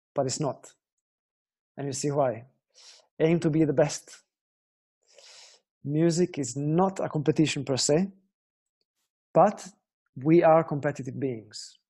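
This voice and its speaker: Young italian guy teaching guitar online, earnest, keeps checking in with viewers, warm mellow tone. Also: a little high, slightly rough. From Italy. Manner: earnest, explanatory, asks viewers questions. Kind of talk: online guitar lesson talk.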